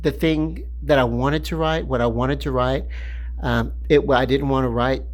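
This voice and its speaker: A man in his fifties with a voice that is high-pitched for a man, talking in a rambling, halting monologue full of fillers and restarts. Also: fairly even intonation. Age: 50s. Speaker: writer talking to his channel.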